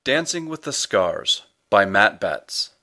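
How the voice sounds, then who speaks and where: A man's voice: lowish voice, sing-song, slow pace, bright, forceful tone. A man reading fiction aloud as an audiobook storyteller. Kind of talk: scripted audiobook narration.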